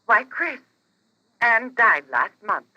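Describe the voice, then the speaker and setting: A woman's voice: a little high, big pitch swings, slow pace, sharp tone, no room sound, heavily acted. An actress playing a polite older mother speaking through a telephone filter in a 1940s radio drama. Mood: gently puzzled. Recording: dull vintage radio, telephone line.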